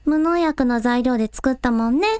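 A woman's voice: high voice, moderate pace, a little mellow, clean tone, no echo, clean studio sound, close to an everyday voice. A woman playing a plucky, practical young village idol who talks casually in a radio drama. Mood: easygoing. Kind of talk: Japanese radio drama acting.

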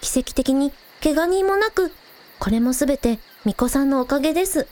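A woman acts out a young village idol in a radio drama with a high, expressive voice. She is friendly and eager, polite one moment and casually teasing the next. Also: moderate pace. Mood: polite, grateful. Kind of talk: Japanese audio drama dialogue.

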